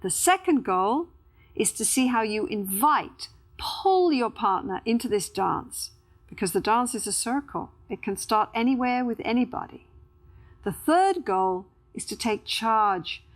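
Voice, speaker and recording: Female narrator for instructional film, voice sitting slightly high, scripted delivery. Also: animated, measured pace. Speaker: narrator and presenter.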